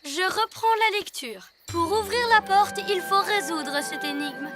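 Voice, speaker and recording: A woman's voice: very high-pitched, animated, unhurried. A woman voicing a boastful, scheming young ninja villain in a children's cartoon, theatrical and sarcastic. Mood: bossy, smug. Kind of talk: animated children's cartoon acting. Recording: loud music behind the voice.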